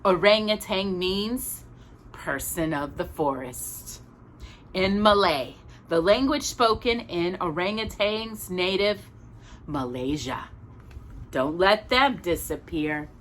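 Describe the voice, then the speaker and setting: Female storytime reader, unhurried and very lively in pitch, chatty and informal, some background noise. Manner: expressive, chatty, stumbles and corrects herself. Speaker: storytime host reading a picture book. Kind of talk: read-aloud children's storytime with casual asides.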